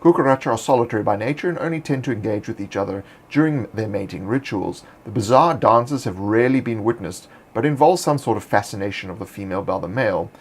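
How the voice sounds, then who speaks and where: A man hosting, reading fantasy monster lore in a measured, scripted narration. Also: fairly low pitch, expressive, slightly rough. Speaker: host.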